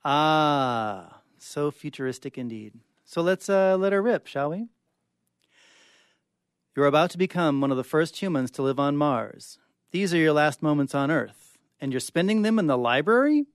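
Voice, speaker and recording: A man's voice: on the high side, expressive, moderate pace, clear, resonant tone, no echo. A man reading short stories dramatically as a guest in a radio studio. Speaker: guest reader.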